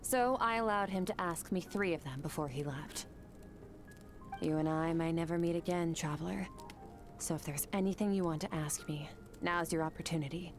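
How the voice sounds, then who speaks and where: A cool, composed swordmaster, voiced by a woman speaks in a very low, even voice. She is terse and detached and drops to a half-whisper at times. Faint game music sits behind her. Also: moderate pace, natural voice. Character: cool, composed female swordmaster from another world.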